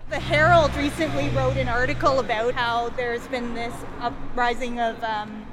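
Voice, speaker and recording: A chatty woman with a high, light voice leads a walking food tour outdoors. She explains things casually as she goes. Loud street noise sits right behind her. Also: steady tone, normal speed. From Canada. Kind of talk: casual guided tour talk.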